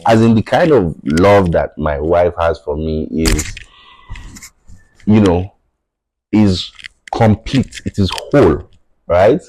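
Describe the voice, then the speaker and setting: A very deep-voiced man in his thirties with a Nigerian accent talks earnestly in a podcast conversation. He explains his thinking at length and keeps checking whether the listener follows. Yoruba is his first language. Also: lively intonation, takes it slowly, pauses often, darkish tone, slight background noise, rough recording. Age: 30s. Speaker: podcast guest. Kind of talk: reflective conversational podcast talk.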